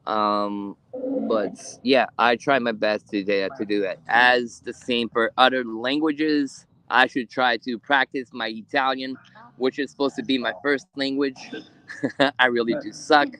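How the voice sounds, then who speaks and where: A man hosting a live ghost hunt in a cemetery at night, speaking haltingly with many fillers as he calls out to spirits. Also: medium pitch, fairly even intonation, bright, forceful tone, rough recording. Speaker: ghost hunter streaming live. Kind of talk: live paranormal investigation stream.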